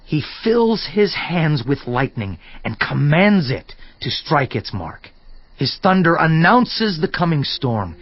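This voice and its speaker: Fervent biblical speaker, earnest, declamatory, solemn, emphatic, husky edge, dramatized scripture, slightly muffled audio. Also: male voice, a little high, big pitch swings, takes it slowly, exaggerated voice. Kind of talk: dramatized scripture reading, oratorical.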